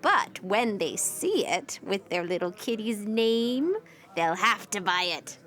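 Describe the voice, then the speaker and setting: An actress playing a cheerful, shrewd old maid selling cat crafts in a comedic audio drama, folksy and sweetly salesy. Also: female voice, medium pitch, animated, takes it slowly, fairly bright tone. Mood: cheery, sly. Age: older.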